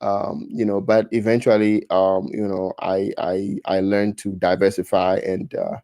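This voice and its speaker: A man's voice: deep voice, little pitch movement, a little slow, dark tone, no echo. A man in his thirties from Ghana with a Ghanaian accent, chatting casually on a livestream and reading out viewer comments, often restarting and repeating words. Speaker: livestream host.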